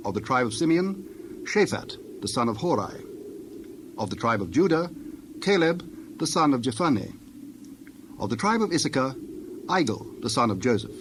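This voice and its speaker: A male narrator with a medium-pitched voice tells a scripture story. He reads solemnly and deliberately, with birdsong and clear ambient noise behind him.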